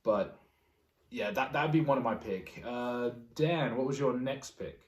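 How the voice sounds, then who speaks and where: A man with a mid-pitched voice hosts a casual group chat. He talks conversationally, feeling his way through sentences. The sound is echoey and a little far from the microphone. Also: expressive, a little slow. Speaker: podcast host. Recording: roomy echo, distant from mic.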